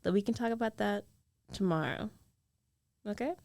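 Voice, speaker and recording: A woman playing a jealous, lovestruck young female roommate in an intimate ASMR roleplay, guarded yet tender, speaking with hesitant, emotional pauses. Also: mid-range voice, vocal fry, darkish tone, no room sound, close to an everyday voice. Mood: tired, soothing.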